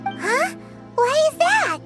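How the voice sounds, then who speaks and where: A woman voicing a tiny, excitable, childlike fairy companion in a video game, with a squeaky voice. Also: takes it slowly, loud music behind the voice, heavily acted. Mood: curious. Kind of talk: cartoonish video game voice acting.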